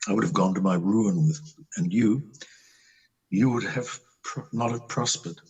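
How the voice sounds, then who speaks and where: A man playing a disillusioned, lovelorn country doctor in an amateur play reading, with a husky voice and a theatrical, halting delivery. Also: lowish voice, darkish tone, distant mic, full character voice, rough recording. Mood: reproachful.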